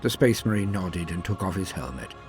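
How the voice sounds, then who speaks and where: A man narrating scripted stories in a dramatic, solemn and measured way, with emphatic swells and falling sentence endings. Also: low voice, expressive, darkish tone. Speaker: narrator and host of a fan fiction lore channel. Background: music.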